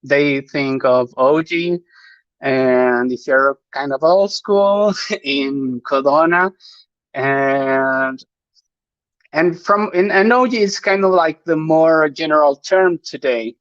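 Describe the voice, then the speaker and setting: Chilean spanish accent, speaks slow, lots of ums and restarts, dull lo-fi stream sound. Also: mid-range voice, dry recording. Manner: hesitant, many fillers and restarts, nervous laughs. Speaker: panel speaker. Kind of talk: live online panel talk.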